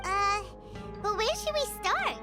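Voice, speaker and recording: Tiny squeaky fairy kid for a video game, super high and all over the place, loud music under it. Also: female voice, lively intonation, slow, exaggerated voice. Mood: unsure, worried. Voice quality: squeaky, childlike. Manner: refers to herself in third person. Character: tiny childlike female fairy companion, excitable and naive. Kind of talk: video game cutscene acting.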